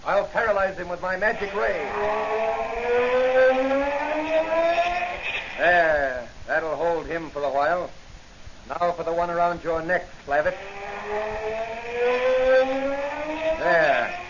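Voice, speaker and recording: An actor playing a bold, stern masked police hero in a 1940s radio drama, commanding and righteous, on a muffled, noisy old recording. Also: male voice, fairly high pitch, normal speed, lightly acted.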